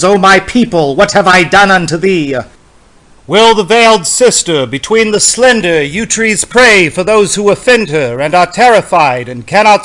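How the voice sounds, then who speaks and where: A man reads a long poem aloud in a dramatic style, fairly high in pitch for a man. His delivery is slow, solemn and incantatory, leaning on the repeated phrases. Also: a bit bright, rough recording. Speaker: poetry reader. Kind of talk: dramatic poetry reading.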